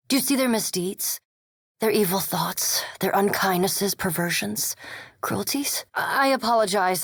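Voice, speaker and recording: Reserved scholarly vampire, very dry recording. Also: female voice, medium pitch, slightly rough, no room sound. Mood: earnest, reserved, then restrained, formal. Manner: measured, formal, precise, reserved. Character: coolly polite, scholarly vampire animancer woman. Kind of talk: video game character dialogue.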